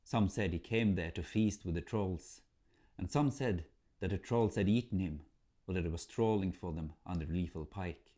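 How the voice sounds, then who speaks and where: A man narrating a folk tale as a storyteller, in a theatrical, measured manner. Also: sits low, dark tone.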